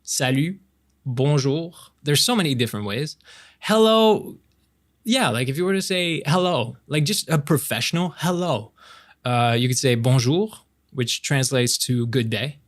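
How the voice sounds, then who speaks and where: A man acts out a friendly, playful young French Canadian guy in an intimate romantic audio roleplay. He tutors a close friend casually, in a halting, encouraging way with drawn-out demonstrations. His pitch sits in the middle of the male range. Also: animated, lightly acted. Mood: relaxed, instructive.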